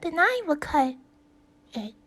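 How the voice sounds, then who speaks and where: An earnest little mother hen from a storybook, acted out by a woman, high in pitch and very slow. She is hardworking and determined, speaking in a sing-song way. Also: big pitch swings, a bit bright, heavily acted. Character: small industrious female hen, earnest and determined.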